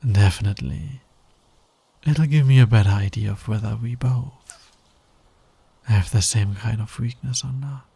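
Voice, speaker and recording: Flirtatious fantasy villain , male actor, dark mellow voice with gravelly fry, sly and dominant, close intimate ASMR audio drama. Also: fairly low pitch, dry recording, exaggerated voice. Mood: sly teasing. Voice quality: breathy, husky, often dropping to a whisper. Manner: slow, teasing, deliberate delivery.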